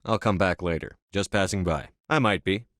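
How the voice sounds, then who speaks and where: An actor playing a stern, authoritative military space commander in a video game, with clipped, controlled, matter-of-fact delivery. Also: male voice, low voice, normal speed, a bit bright, no echo, clean studio sound. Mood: formal, businesslike.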